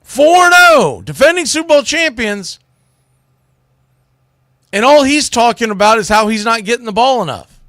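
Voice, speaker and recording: A man with a high, very lively voice delivers sports commentary. His tone is bright and forceful, and he talks in a blunt, folksy, exasperated way, like a radio host on a rant. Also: moderate pace, long pauses, no room sound, clean studio sound. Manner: exasperated ranting, blunt, mildly salty, folksy.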